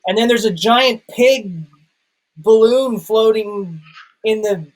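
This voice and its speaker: Male film show host, high-pitched voice, conversational, halting, thinking aloud. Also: rough recording. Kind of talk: casual film discussion.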